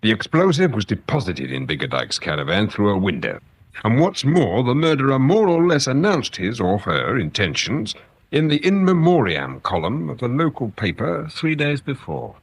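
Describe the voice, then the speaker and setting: An actor playing a courteous, shrewd, dry-witted police detective in a radio drama, speaking formally with measured understatement. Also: male voice, mid-range voice, big pitch swings, breathy edge. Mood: dry, composed.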